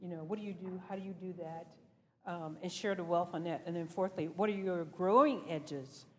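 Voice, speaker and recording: A woman's voice: lowish voice, normal speed, darkish tone. A woman leading a group session in an earnest, conversational way, putting reflective questions to her listeners. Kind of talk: reflective talk to a group with discussion instructions. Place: large reverberant room, speaking to a group. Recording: noisy hall with long reverb.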